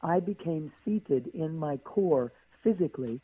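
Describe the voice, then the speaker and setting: Hoarse woman on a phone line. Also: deep voice, animated, unhurried, dry recording. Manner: flowing, builds long lists of phrases. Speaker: guest author. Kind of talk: radio interview answers. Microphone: phone call.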